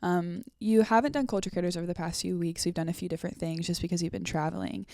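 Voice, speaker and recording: Young woman in her twenties, podcast co-host, low-ish gravelly voice, upbeat, dry mic. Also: steady tone, quick pace, darkish tone, no room sound. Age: 20s. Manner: upbeat, guides the talk with questions. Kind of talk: casual conversational chat.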